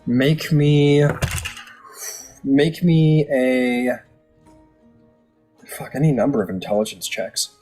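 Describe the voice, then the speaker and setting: A man's voice: mid-range voice, fairly even intonation, slow pace, long pauses, mellow. A man improvising narration as the game master of a tabletop role-playing game. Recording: music playing under the voice. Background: background music.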